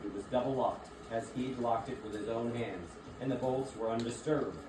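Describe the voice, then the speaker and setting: A man's voice: mid-range voice, normal speed. A man narrating a classic story as scripted theatrical reading. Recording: distant echoey room, clearly noisy.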